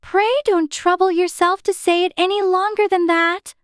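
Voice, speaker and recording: Tiny curious little girl for a storybook, super high voice, polite but opinionated, dry sound. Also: steady tone, moderate pace, dry recording. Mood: eager, polite. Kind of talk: dramatized storybook dialogue.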